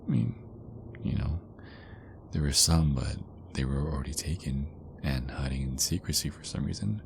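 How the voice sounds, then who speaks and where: A man playing a big, affectionate werewolf boyfriend in an intimate ASMR roleplay, murmuring hesitantly and often slipping into breathy whispers. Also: a bass voice, expressive, normal speed, exaggerated voice. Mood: soft, nostalgic. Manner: hesitant, rambling, murmured pillow talk. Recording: clearly noisy background ambience.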